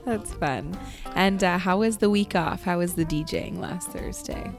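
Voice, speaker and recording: Chatty, lowish-voiced Canadian woman over music. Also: normal speed. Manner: chatty, enthusiastic. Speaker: radio show host. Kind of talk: casual co-host chat.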